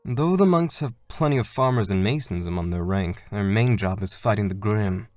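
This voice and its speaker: A man voicing a courteous, steady monk guardian in an animated fan dub, formal and composed. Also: on the low side, moderate pace, no room sound, clean studio sound, faint music behind, close to an everyday voice. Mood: composed explaining.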